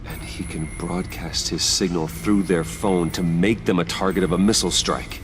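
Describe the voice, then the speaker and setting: A man playing a grave, determined vigilante detective in a video game, speaking in measured, terse English. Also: deep voice, fairly even intonation, close to an everyday voice. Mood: grave, analytical. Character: grave, determined adult male vigilante detective, low and controlled. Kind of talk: dramatic video game acting. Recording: clearly noisy game audio.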